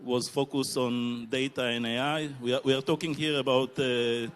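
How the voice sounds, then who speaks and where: A man, answering on a conference panel with many hesitant pauses. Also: medium pitch, level tone, a bit bright, clean tone. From Israel. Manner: halting, many filler pauses. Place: conference stage.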